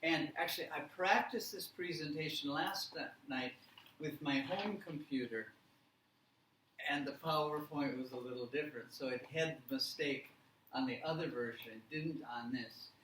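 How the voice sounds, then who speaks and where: A man teaching a live workshop, giving deliberate, simple instructions with repetition and check questions. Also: on the high side, fairly bright tone. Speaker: language teacher leading a workshop. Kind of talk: live classroom instruction with simple step-by-step directions. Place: indoor classroom or presentation room. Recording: echoey room, distant mic, faint noise.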